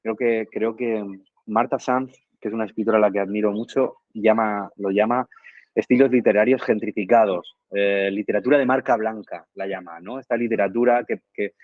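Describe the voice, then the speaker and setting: A man answering radio interview questions in a reflective way, often pausing with fillers and tag questions. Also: fairly low pitch, steady tone, no room sound, phone-quality sound. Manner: thoughtful, frequent "eh" and tag questions. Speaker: guest, reading promoter.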